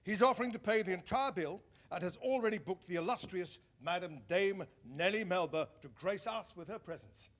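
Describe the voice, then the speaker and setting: A matter-of-fact man of the early wireless trade in a period radio play. His voice is high and his manner dry and practical. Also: moderate pace, hardly any pauses, fairly bright tone. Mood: informative. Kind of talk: period radio drama acting.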